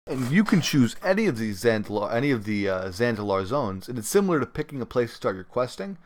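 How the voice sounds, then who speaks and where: A man hosting a casual gaming podcast, talking in a halting, rambling way. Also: medium pitch, sing-song, a little hiss or hum. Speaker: podcast host. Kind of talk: casual gaming talk show commentary.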